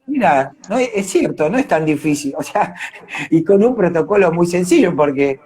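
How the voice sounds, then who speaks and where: An Argentine man with a fairly high voice talks in a live interview. He has a Rioplatense accent and an animated, conversational way of explaining things, as an actor would. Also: moderate pace, husky. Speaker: radio drama actor being interviewed.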